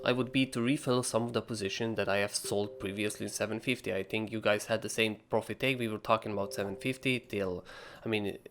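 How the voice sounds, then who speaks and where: A young man with a raspy voice from being sick, casually walking through a trading chart analysis and often checking in with "right?". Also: mid-range voice, a bit bright, slight background noise. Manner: casual, frequent "right?" tags and fillers. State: sick with a cold.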